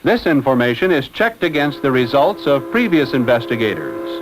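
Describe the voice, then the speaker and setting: A man narrating a scripted 1960s educational film in a formal, measured, expository manner. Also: medium pitch, very animated, music in the background. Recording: noisy old 1960s film soundtrack.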